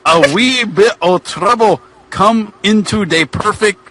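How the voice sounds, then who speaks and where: A man voicing a huge, pale, angry Irish giant wrestler in a comic fan fiction reading, with an exaggerated stage Irish brogue and drawn-out folksy phrasing. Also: high voice, fairly bright tone, exaggerated voice. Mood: taunting.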